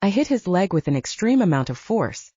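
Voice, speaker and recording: A woman's voice: mid-range voice, very animated, a little fast, mellow, husky, no room sound. A woman narrating a scripted first-person story as an audiobook narrator, in a slightly dull recording.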